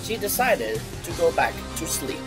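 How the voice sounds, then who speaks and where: A man with a fairly high, lively voice reads scripted audiobook narration. His delivery is slow and varied, with music clearly playing behind him. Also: low-quality recording. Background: background music.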